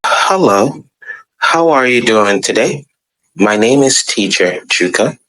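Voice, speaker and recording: A man's voice: medium pitch, animated, slow, pushed and bright, low-quality recording. A Nigerian man, an online English tutor, giving an upbeat, enthusiastic intro. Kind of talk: scripted intro video.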